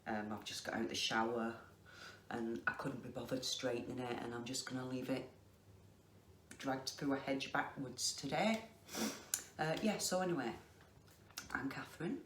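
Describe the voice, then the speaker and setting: A middle-aged woman with a Lancashire accent, chatting casually to camera in a slow, deliberate way with many ums. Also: extremely low pitch. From England. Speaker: craft vlogger. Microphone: facing the camera. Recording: echoey room with faint noise.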